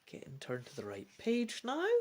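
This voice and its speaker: A woman reading an adventure gamebook aloud in a theatrical, deliberate style. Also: rumbling low, wildly swooping pitch, darkish tone. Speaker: gamebook reader and player. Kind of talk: dramatic storybook narration.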